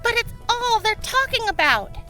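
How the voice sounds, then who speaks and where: A woman's voice: extremely high pitch, very animated, normal speed, sharp tone, faint music behind, voice pushed up, full character voice. A woman voicing an eager, curious young girl who pleads hesitantly in a fantasy roleplay audio drama. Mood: pleading.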